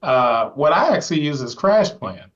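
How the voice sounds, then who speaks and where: A man's voice: a little high, sing-song, moderate pace, fairly bright tone, dull audio. A man joining a live chat to share his own advice, chatty and correcting himself as he goes. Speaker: regular participant sharing advice. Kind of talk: casual chat.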